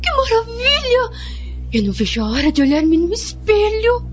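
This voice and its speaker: An actress playing a giddy, impatient young patient in a radio soap opera. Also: female voice, very high voice, very animated, normal speed. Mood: excited, eager. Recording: dull lo-fi audio, music underneath.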